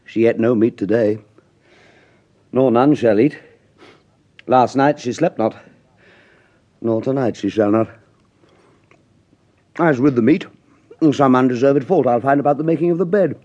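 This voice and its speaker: An actor playing a blustering, tyrannical husband in a classic radio Shakespeare comedy, theatrical, swinging between roaring and mock-sweet coaxing. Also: male voice, mid-range voice, unhurried, long pauses, warm tone, dull audio, natural voice. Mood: sly, scheming. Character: blustering, tyrannical husband, loud master taming his bride.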